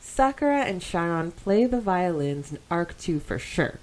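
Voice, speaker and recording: A woman with a slightly low voice co-hosts a fan podcast. She moves between casual chat and reading listener comments aloud. Her delivery is giggly and enthusiastic. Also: very animated, unhurried, a little mellow, rough recording. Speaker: podcast co-host.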